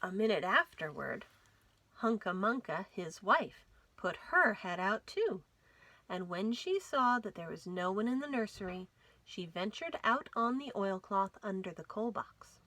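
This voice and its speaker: A woman reading a children's story aloud as a storytime host, with dramatic, expressive delivery. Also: mid-range voice, unhurried, dry recording. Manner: dramatic, animated storytelling with whispers and emphasis. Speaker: library storytime reader.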